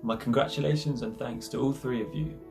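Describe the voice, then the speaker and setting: A man's voice: medium pitch, steady tone, a little fast, vocal fry. A young man reading a scripted award presentation in a formal way as student president. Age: young adult. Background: prominent plucked guitar music.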